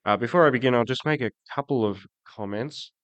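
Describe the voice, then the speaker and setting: A man getting over a cold preaches from the pulpit, his voice a little croaky. He speaks in a halting, casual way, full of ums and restarts, at a middling pitch. The sound is close and dry, with no room echo. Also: a bit bright. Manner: hesitant, many ums and restarts. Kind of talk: sermon with prayer. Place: church.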